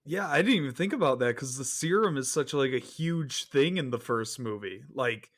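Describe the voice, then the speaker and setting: A man's voice: medium pitch, expressive, normal speed, fairly bright tone. A man talking informally about films as a podcast panelist in a casual discussion.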